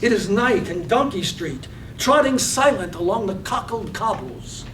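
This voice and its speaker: Man reading poetic play narration, super slow n deliberate, echoey, faint rumbly noise. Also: lively intonation, fairly bright tone. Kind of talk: poetic scripted stage narration. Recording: roomy stage, far mic, faint rumble.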